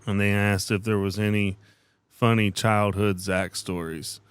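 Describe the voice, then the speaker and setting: A man's voice: fairly low pitch, level tone, unhurried, smooth, no echo. A man hosting a podcast in a casual, conversational way, encouraging and complimentary toward his guests. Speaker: podcast host.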